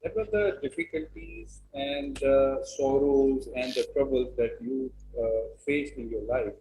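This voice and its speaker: A man interviewing a guest, asking halting questions with pauses. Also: medium pitch, steady tone, dark tone, slight background noise. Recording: roomy echo.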